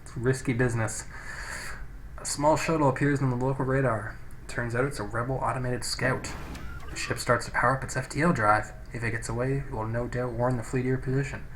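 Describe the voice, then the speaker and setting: Casting: male let's play gamer, mid-pitched, informal and sweary, talking through his play on a cheap, slightly noisy microphone. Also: fairly even intonation, a little fast. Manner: casual, sweary, thinking out loud. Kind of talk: casual gameplay commentary with read-out game text. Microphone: low-quality home microphone.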